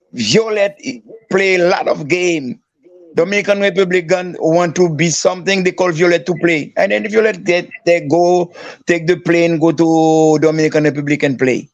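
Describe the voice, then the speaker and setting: High-voiced man in halting English. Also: gravelly, no room sound, dull audio. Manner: halting broken English, repeats words. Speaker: guest. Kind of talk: casual podcast chat.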